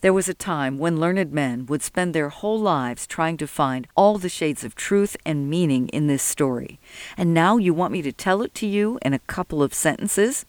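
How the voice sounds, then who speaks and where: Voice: medium pitch, lively intonation, normal speed, no room sound, lightly acted. A narrator voicing a devout, weary old man who speaks with solemn, earnest emphasis in an audiobook. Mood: weary, dismayed. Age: older.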